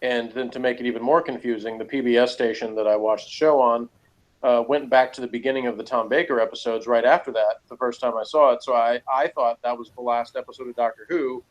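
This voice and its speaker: A man's voice: mid-range voice, fairly even intonation, quick pace, lo-fi sound. A middle-aged man hosting a casual review discussion, talking in a rambling, self-qualifying, conversational way. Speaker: podcast host.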